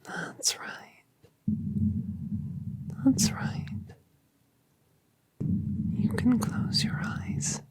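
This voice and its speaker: A woman voicing a colossal female dragon mother in an ASMR roleplay, whispering softly and tenderly with a breathy voice. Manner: soft, slow, tender reassurance.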